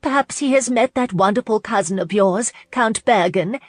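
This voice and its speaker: A woman's voice: medium pitch, moderate pace, no echo, studio quality, voice pushed up, close to an everyday voice. A woman voicing a chirpy, meddling society hostess with formal, effusive manners in an audiobook. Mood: chirpy, eager.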